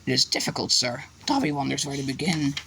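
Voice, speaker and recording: A reader voicing a small, servile male house elf with a high-pitched, squeaky voice who talks about himself by name. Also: fast, gravelly, sharp tone, a little hiss or hum. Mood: earnest, fearful. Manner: earnest, speaks of himself by name.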